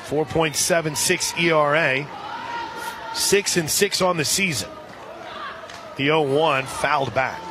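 A man calling live softball play-by-play on the radio, with sponsor reads mixed in. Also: a little high, slow pace, long pauses. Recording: crowd noise and music behind commentary. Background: stadium crowd and music.

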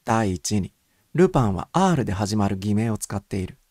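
A man voicing a polite, methodical gentleman who explains things in orderly fashion as an amateur detective in an audiobook. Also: lowish voice, very animated, brisk, no room sound, clean studio sound. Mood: reasoning, confident.

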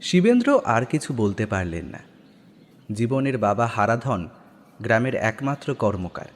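A man's voice: medium pitch, sing-song, normal speed. A man narrating a scripted audio story in a dramatic style. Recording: long studio reverb, background music.

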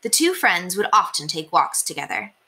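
A young woman reading a children's storybook aloud in an expressive, deliberate way. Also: lowish voice, slight background noise. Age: young adult. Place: small indoor room. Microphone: webcam at desk distance.

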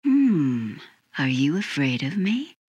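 An actress playing a cool, cynical wind demon in an anime game, aloof and sardonic. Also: female voice, sits low, big pitch swings, slow, a little mellow, husky, no room sound, muffled recording, full character voice. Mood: mocking.

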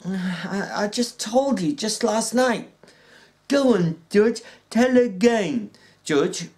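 A reader putting on a big, childlike ranch hand whose voice climbs high and slows as he begs and repeats himself. His words come simple and eager. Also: lively intonation, darkish tone. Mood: pleading.

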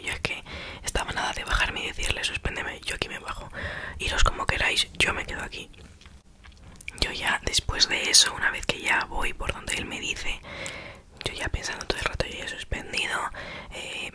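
Whispering young woman telling a story. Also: bright, forceful tone. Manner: chatty, self-mocking, acts out quoted exchanges.

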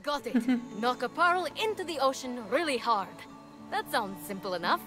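Woman as young game heroine: earnest, awkward, excitable, very lively intonation, music underneath. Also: on the high side, normal speed, natural voice. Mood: eager. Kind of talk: video game character acting.

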